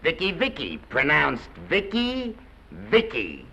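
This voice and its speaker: A man's voice: a little high, wildly swooping pitch, measured pace, bright, forceful tone, full character voice. A man playing a brash, wry studio press agent in an old film, dictating in clipped, declamatory phrases. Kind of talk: 1930s Hollywood film acting. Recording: dull noisy old film soundtrack.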